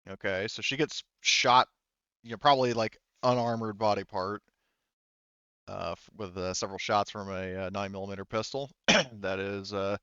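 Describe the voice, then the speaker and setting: A man's voice: fairly low pitch, moderate pace, pushed and bright, smooth, dry recording, lo-fi sound. A man running a tabletop game as game master, narrating casually and swearing freely.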